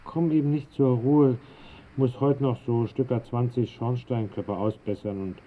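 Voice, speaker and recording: An actor playing an old, restless, eccentric master bricklayer who grumbles and rambles in a radio drama. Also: male voice, mid-range voice, fairly even intonation, a little slow, lightly acted. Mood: grumbling, restless. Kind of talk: 1960s German radio drama acting. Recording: muffled band-limited old radio recording.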